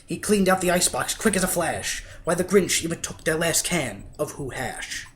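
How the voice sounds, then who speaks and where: A man's voice: fairly high pitch, moderate pace. A man reading a rhyming storybook as a dramatic, theatrical narrator. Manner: theatrical, expressive. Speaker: storybook reader.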